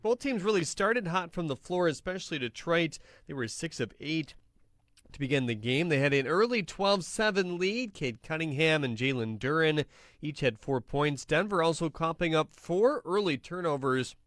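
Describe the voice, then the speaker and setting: Male sports radio halftime host, slightly high voice, close dry studio sound. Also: expressive, normal speed, fairly bright tone, no room sound. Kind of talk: radio sports recap commentary.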